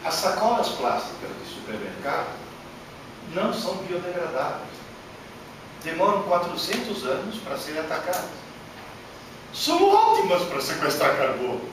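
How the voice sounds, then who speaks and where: A man giving a workshop lecture to an audience, animated and emphatic, with many rhetorical questions, speaking Portuguese as a learned language. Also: high-pitched, normal speed, pauses often. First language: not Portuguese. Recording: echoey hall from far off, noisy.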